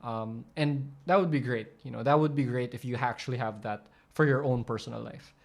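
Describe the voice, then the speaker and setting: A man's voice: mid-range voice, fairly quick, vocal fry. A man hosting, talking casually over a video clip, rambling with frequent fillers and restarts as he explains. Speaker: channel host explaining coaching.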